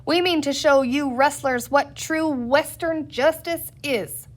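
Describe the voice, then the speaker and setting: A woman's voice: high voice, takes it slowly, voice pushed up. A woman voicing a bossy, contemptuous male Western sheriff in a storybook, with a blustering, declamatory manner.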